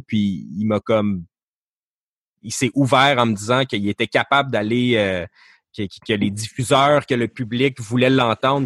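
A man's voice: fairly low pitch, a bit bright, no echo, studio quality. A man, a podcast guest talking casually and hesitantly, with many fillers and restarts. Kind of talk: casual interview talk.

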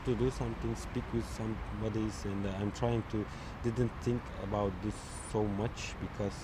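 A man being interviewed outdoors, speaking haltingly with many fillers as he searches for words. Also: on the low side, little pitch movement. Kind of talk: casual street interview. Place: outdoors on a city street. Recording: outdoor street noise with traffic.

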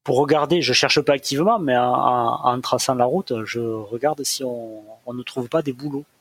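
A man playing a tabletop game, talking casually and explaining his move in a halting way. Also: medium pitch, slightly rough.

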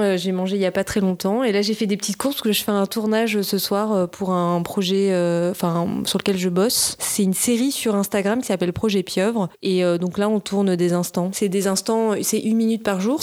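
A woman's voice: medium pitch, a little mellow. Young woman screenwriter, chatty, hesitant, self-correcting, casual interview. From Nice, southern France. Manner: chatty, lots of hesitations and restarts. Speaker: screenwriter and director.

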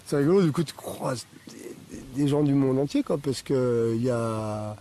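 A man's voice: mid-range voice, moderate pace, mellow. A man in his thirties or forties telling his story in a casual, rambling way full of fillers. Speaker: interviewee, amateur footballer. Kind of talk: casual recorded interview.

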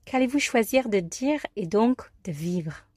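A woman from the United States with an American accent, giving a scripted, expressive personal talk as a life coach and columnist. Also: medium pitch, a little slow, dry recording, clean studio sound. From United States, New York. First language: English.

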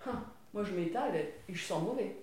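A woman voicing a gruff, smelly male manure heap who grumbles bluntly. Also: medium pitch, lively intonation, brisk, a little mellow, slight background noise, voice pushed down, natural voice. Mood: grumbling. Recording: very echoey room.

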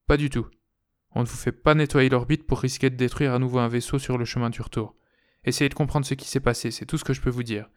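A man's voice: mid-range voice, fairly even intonation, quick pace, lightly acted. A stern mission control operator in a science fiction audiobook, voiced by a man reading. The delivery is firm and formal, blunt in giving orders.

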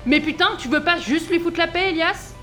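A woman as a high-voiced, blunt, tough robber. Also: a little fast, sharp tone, soft background music. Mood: exasperated. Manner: blunt, reproachful. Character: strict, blunt, protective tough female ex-soldier robber. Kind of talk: scripted audio drama dialogue.

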